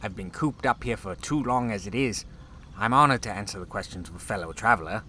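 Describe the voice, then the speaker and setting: Actor as suspicious pompous official, fretful, agitated, lowish male voice, sci-fi audio drama, faint noise behind. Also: brisk, sharp tone. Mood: flustered courtesy. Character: anxious, arrogant chief officer, suspicious and self-important. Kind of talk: full-cast sci-fi audio drama acting.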